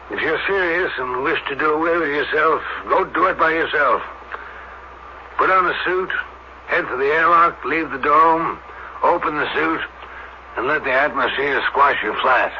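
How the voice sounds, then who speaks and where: An actor playing a wary, irritable doctor on a space colony in an old-time radio drama, brusque and commanding. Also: male voice, a little high, a little slow, pauses often, sharp tone, exaggerated voice. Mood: indignant. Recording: muffled, noisy 1970s radio broadcast.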